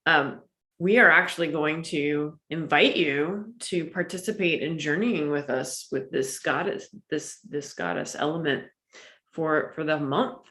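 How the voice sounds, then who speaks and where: A woman's voice: sits low, a little slow, sharp tone, reverberant. A woman co-hosting a casual spiritual chat, talking with enthusiasm. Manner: enthusiastic, talks of energy and chakras. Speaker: podcast co-host.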